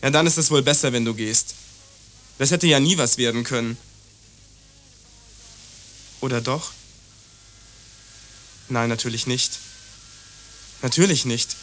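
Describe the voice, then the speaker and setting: An actor playing an obtuse, prejudiced young lover in a radio play, condescending and evasive. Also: male voice, medium pitch, measured pace, long pauses, a bit bright, slight background noise, lightly acted. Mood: reproachful. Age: young adult. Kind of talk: German radio play dialogue.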